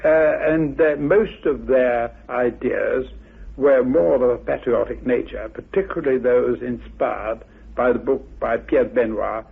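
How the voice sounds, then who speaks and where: Husky, creaky man, muffled sound. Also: medium pitch, unhurried. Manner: courteous, formal, politely dismissive. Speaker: interviewed expert on Atlantis theories. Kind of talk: radio interview, measured scholarly exposition. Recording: muffled, band-limited radio recording.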